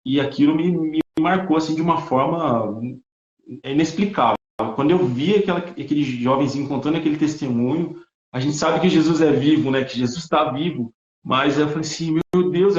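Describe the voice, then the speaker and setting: A man, a missionary guest on a video call, recounting a church trip in a halting way and repeating his words. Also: fairly high pitch, a little mellow. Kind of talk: casual testimony. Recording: echoey, lo-fi call audio.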